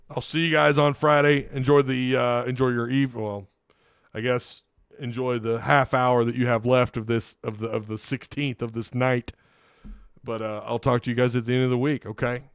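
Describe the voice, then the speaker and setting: A man talks through a late-night game recap, worn out and losing his voice from yelling earlier. He rambles and restarts his sentences. His voice stays smooth, and the sound is dry and close to the mic. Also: mid-range voice, level tone, moderate pace, no room sound. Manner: rambling, restarts often, tired and loose. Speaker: sports podcast host. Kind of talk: casual solo recap talk.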